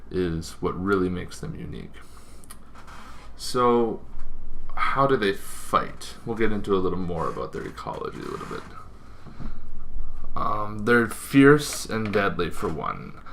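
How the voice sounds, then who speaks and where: A man's voice: lowish voice, vocal fry, fairly bright tone, slight background noise. A man hosting an informal explainer, talking in a rambling way with asides and self-corrections. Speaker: host of a tabletop gaming show.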